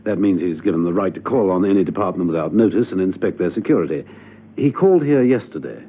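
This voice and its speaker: An actor playing a suave, unflappable gentleman secret agent in a radio drama, urbane, understated and politely probing. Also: low voice, expressive, quick pace, husky, heavily acted. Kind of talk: spy radio drama acting. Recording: muffled old radio recording.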